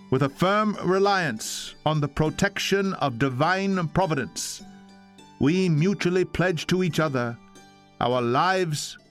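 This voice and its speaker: Slow, solemn male dramatic reader over music. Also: fairly high pitch. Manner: measured, declamatory. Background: instrumental music.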